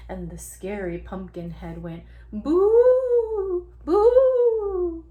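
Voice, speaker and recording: A woman voicing a male talking pumpkin head from a children's storybook, trying to scare with loud, drawn-out cries. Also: sing-song, phone-quality sound, exaggerated voice. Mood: spooky. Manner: loud, drawn-out, high exclamations. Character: male talking pumpkin head, would-be scary, easily deflated.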